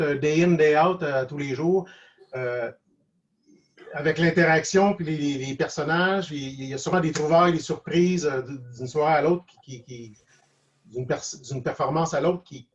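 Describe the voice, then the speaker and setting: Casting: male interview guest, Quebec French accent, slightly high voice, hesitant delivery, dull lo-fi call audio. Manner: hesitant, halting, repeats words. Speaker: guest cartoonist and animator. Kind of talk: casual online interview, reflective advice. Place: video call.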